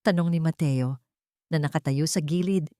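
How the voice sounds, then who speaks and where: A woman's voice: low-pitched, normal speed, mellow, no echo, studio quality. A woman reading dramatic scripted narration as an audiobook narrator.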